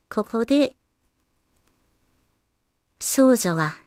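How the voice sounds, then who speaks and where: A woman's voice: on the high side, darkish tone, no room sound, clean studio sound. A woman creator casually thinking aloud as she works, muttering hesitantly to herself with polite asides to viewers. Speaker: solo animation creator and streamer.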